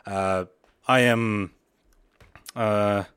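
A man's voice: lowish voice, fairly even intonation, very slow, fairly bright tone, clear, resonant tone. A young man from Buryatia in Russia, a native Mongolian speaker, hosting a podcast in a rambling style full of fillers and restarts. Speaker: podcast host. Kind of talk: casual podcast intro.

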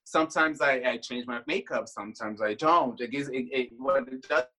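A man's voice: medium pitch, moderate pace. Sassy drag queen with Puerto Rican accent. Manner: sassy, animated, swears freely. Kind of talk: casual interview chat.

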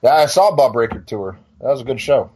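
A man's voice: medium pitch, animated, a little fast, mellow, slight background noise. A man in his forties talking casually as a podcast guest, wry and rambling, with some swearing. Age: 40s. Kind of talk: casual chat.

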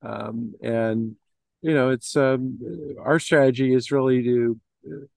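A man's voice: medium pitch, fairly even intonation, slow pace, gravelly, a little mellow, no room sound. A middle-aged man answering questions in an informal business interview, with hesitant, meandering phrasing full of filler phrases. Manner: hesitant, meandering phrasing with many you-knows. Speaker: interview guest, investment firm executive.

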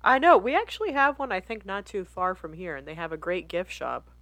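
A woman's voice: medium pitch, big pitch swings, a little fast, a bit bright, dry recording. An American woman co-hosting a podcast, bantering casually with wry, playful, sweary humor. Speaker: podcast co-host.

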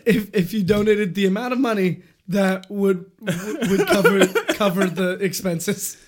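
A man's voice: high voice, expressive, unhurried. A man guesting on a podcast, joking and rambling in casual banter. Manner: joking, rambling, prone to awkward jokes.

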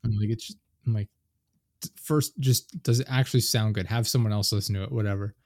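A man hosting a podcast, his low voice a touch nasal, picked up close on a studio microphone in a dry room. He chats casually and rushes ahead when an idea grabs him, tripping over his words. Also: no room sound. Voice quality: slightly nasal. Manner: talks fast when excited and trips over his words. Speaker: podcast host. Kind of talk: casual interview chat. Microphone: studio dynamic microphone.

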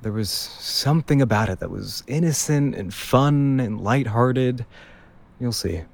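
A young man looking back on a road trip in an audio drama. He confides in the listener informally, halting and searching for words. His mid-range voice carries a wistful, conversational tone. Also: medium pitch, creaky, a little hiss or hum. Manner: hesitant, conversational.

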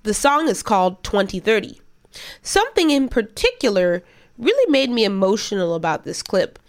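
A woman in her late twenties hosting a podcast, with a stuffy nose from a cold, reading in a lively, expressive way. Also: medium pitch. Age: late 20s. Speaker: podcast host and DJ. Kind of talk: scripted podcast narration.

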